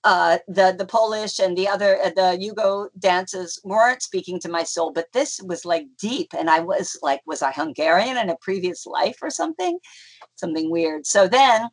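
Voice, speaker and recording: A woman's voice: on the low side, animated, moderate pace. A middle-aged woman from North America, a folk dancer being interviewed, talking in a chatty, rambling way with many fillers and restarts. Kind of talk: casual conversational interview.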